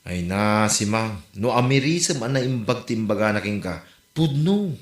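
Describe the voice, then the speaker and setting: A man's voice: fairly low pitch, lively intonation, normal speed, close to an everyday voice. Man acting a grumbling village gossip, echoey room. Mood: reproachful. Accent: northern Philippine. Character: blunt, gossipy villager grumbling about a neighbour. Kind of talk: comedy audio drama acting. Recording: roomy, echoey voice recording.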